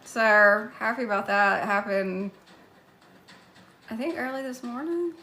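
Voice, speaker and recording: Chatty woman live streamer, bright voice. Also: a little high, unhurried, slight background noise, poor audio. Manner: chatty, thanks viewers by name between stories. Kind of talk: casual live-stream chat with viewers.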